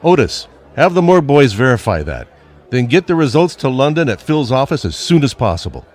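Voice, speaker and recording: A man playing a commanding, no-nonsense police lieutenant in a noir radio drama, brisk and authoritative. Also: medium pitch, big pitch swings, darkish tone, faint music behind.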